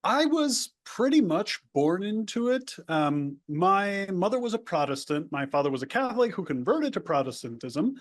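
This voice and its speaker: A man's voice: high voice, very animated, moderate pace, few pauses. A man from the El Paso border area, a native Spanish speaker, telling his life story in a rambling, casual interview with many restarts. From United States, El Paso border area. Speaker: guest.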